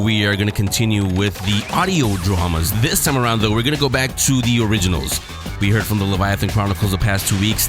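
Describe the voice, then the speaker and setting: With music clearly playing behind him, a man hosts a podcast. His voice is on the low side and his delivery is casual and conversational, like an informal show introduction. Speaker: podcast host.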